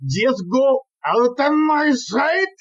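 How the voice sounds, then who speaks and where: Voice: sits high, lively intonation, slow pace, muffled recording, exaggerated voice. An actor playing a hot-tempered, exasperated police chief inspector, barking and seething in a farcical audio play. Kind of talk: farcical audio drama acting.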